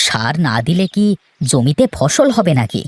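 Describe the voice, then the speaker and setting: A lowered, steady voice for a sensible big brother who farms, put on by a woman. He speaks firmly in a children's cartoon, with a scolding edge. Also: measured pace, slightly rough, heavily acted. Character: sensible, firm eldest brother, a hardworking farmer.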